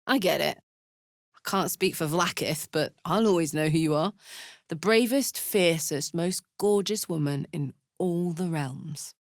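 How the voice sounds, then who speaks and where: A woman's voice: fairly low pitch, unhurried, no echo, studio quality. Brash, battle-hardened fantasy warrior woman. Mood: earnest, tender. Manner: blunt, slangy, swearing, swaggering. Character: brash, battle-hardened, big-hearted tiefling barbarian woman. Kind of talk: video game voice acting.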